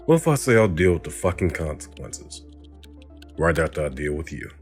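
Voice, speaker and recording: Man playing a bitter, low-voiced cynical cop. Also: big pitch swings, moderate pace, faint music behind. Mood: bitter, defiant. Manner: profane, sardonic, defiant. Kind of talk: dramatic audio drama acting.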